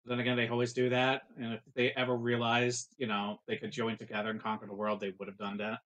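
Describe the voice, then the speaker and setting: Bright, forceful man chatting over a game. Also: medium pitch, monotone, quick pace, dull audio. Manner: talkative, joking asides. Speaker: tabletop game player. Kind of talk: casual chat during a game.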